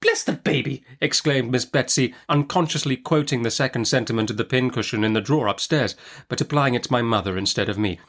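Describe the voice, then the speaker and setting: A man narrating a Victorian novel in an expressive literary style, measured and articulate, as a storyteller would. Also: mid-range voice. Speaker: audiobook narrator.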